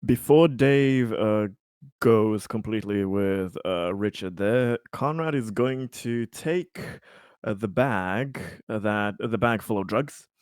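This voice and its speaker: A man playing a tabletop game, describing his character's actions with halting, improvised phrasing. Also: lowish voice, darkish tone, clear, resonant tone, no echo, studio quality.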